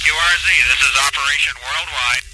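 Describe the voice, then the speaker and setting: A man's voice: on the high side, fairly quick, noisy background. A man making a formal amateur radio general call with call signs over a shortwave link. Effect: radio transmission.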